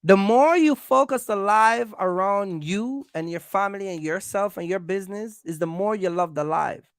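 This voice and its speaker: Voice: mid-range voice, moderate pace, clear, resonant tone, no room sound, clean studio sound. A content creator delivering a casual, confrontational rant, repeating points and throwing out rhetorical questions. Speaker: YouTube content creator, trucking vlogger. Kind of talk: casual rant to camera, calling out rivals.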